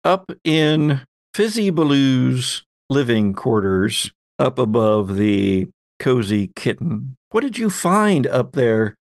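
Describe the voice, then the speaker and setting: A man narrates a tabletop horror game as its game master. He speaks at an unhurried pace in a medium-pitched voice, recorded dry and close. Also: expressive, dry recording.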